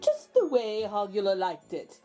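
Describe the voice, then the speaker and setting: A woman's voice: mid-range voice, huge pitch swings, a little slow, mellow, soft background music, poor audio. A woman narrating a children's picture book aloud as host, with theatrical, suspenseful emphasis. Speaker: storybook reader, host.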